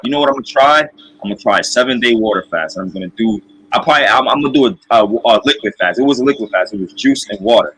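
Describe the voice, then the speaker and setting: A man's voice: medium pitch, a bit bright, husky, rough recording. A man hosting a casual livestream, talking informally with lots of slang and repeated phrases. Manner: informal, repetitive, slangy with frequent "bro". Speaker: livestream host.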